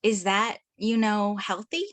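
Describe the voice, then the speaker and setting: A woman reading a quirky, lazy teenage best friend at a table read, playful and lively. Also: medium pitch, unhurried, close to an everyday voice. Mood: gentle.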